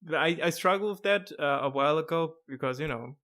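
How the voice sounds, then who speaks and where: Give me a monotone, laughing host. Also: male voice, on the high side, brisk. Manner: monotone, laughs often, many restarts. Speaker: podcast host. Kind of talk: casual video-call chat.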